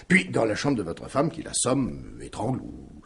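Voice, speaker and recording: A man's voice: on the low side, huge pitch swings, gravelly, a bit bright, slight background noise, heavily acted. An actor playing a wily, smug police commissioner in a radio mystery, needling his suspect in a theatrical, insinuating manner. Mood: theatrical, triumphant. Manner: theatrical, insinuating, drawn-out phrasing with rhetorical tags. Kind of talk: 1950s French radio drama acting.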